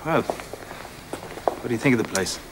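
An actor playing an easygoing middle-aged Irish nightclub manager in a television drama, talking casually and without hurry. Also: male voice, medium pitch, animated, slow pace, very noisy. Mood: relaxed, businesslike. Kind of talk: naturalistic television drama acting.

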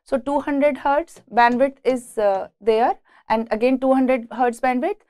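A woman's voice: high-pitched, a little slow, mellow, no echo. A woman with an Indian accent giving an engineering lecture from slides, explaining step by step and often repeating words as she goes. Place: indoors, beside a presentation screen.

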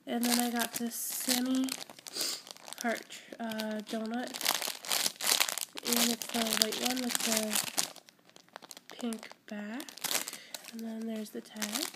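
A young woman with a congested, cold-stricken voice sitting a little high in pitch. She rambles and gushes happily over little toys. The phone recording is full of crinkling plastic and handling noise. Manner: rambling, giggly, gushing over small items. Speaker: squishy collector vlogger. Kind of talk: casual unboxing chat. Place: indoors at home, camera pointed at a table.

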